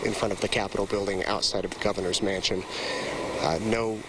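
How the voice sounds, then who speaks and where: A man's voice: fairly low pitch, level tone, fairly quick. A man reporting live as a TV news reporter from outdoors in a city. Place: outdoors on a city street among a protest crowd. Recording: loud outdoor street and vehicle noise.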